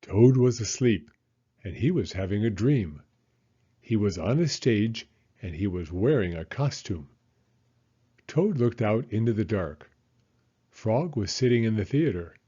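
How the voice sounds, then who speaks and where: A middle-aged man reading a children's story with lively, varied pitch. His voice is slightly husky and the recording a little dull. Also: a little slow, long pauses. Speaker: children's storyteller, elementary school teacher. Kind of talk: expressive children's story narration.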